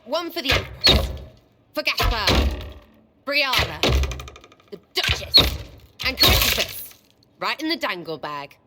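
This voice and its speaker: A woman's voice: fairly high pitch, big pitch swings, slow pace, pauses often, very noisy. A woman playing a blunt, irreverent young commoner rogue in a video game cutscene, scornful and cheeky. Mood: aggressive, taunting.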